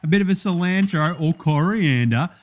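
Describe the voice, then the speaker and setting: Man putting on a high-pitched brash Aussie bloke cook. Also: lively intonation, normal speed, a little hiss or hum, close to an everyday voice. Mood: matey, instructive. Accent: Australian. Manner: blokey, slangy, casual instructions. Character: brash matey Aussie bloke hosting a spoof cooking show. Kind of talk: parody TV cooking demonstration.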